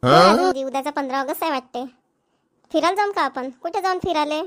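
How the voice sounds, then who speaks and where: A woman's voice: high voice, animated, brisk, fairly bright tone. A woman playing a chatty, agreeable village wife and mother in a cartoon, with a rural Maharashtrian accent. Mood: teasing, agreeable. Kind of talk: cartoon family dialogue.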